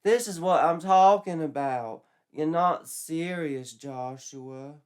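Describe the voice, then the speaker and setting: A man's voice: fairly high pitch, takes it slowly, clear, resonant tone, voice pushed up. A man voicing a dramatic seventeen-year-old girl, weary and coy, in a comic story. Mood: exasperated.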